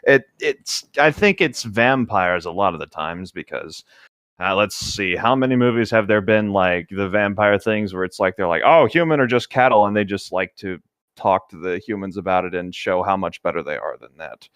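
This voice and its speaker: A man with a lowish voice, recorded close with very little room sound. He co-hosts casually, wandering through his thoughts in a loose, hedging way and reading bits of a novel aloud. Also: expressive, normal speed, fairly bright tone, no echo. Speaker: co-host of a book read-along show.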